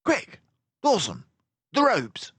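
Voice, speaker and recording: Storybook mouse sleuth, male reader acting: gentlemanly, formal, pitched well up, slow declamatory delivery. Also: wildly swooping pitch, husky, no echo, full character voice. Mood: urgent. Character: stern, calm, gentlemanly male mouse detective hero.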